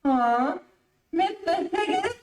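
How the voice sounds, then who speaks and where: Woman doing a high toothless little boy, polite n hopeful, radio comedy, super echoey. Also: sing-song, takes it slowly, a little mellow, exaggerated voice. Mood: hopeful, earnest. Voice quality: toothless speech. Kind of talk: old-time radio comedy acting. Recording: very echoey room, distant mic, noisy.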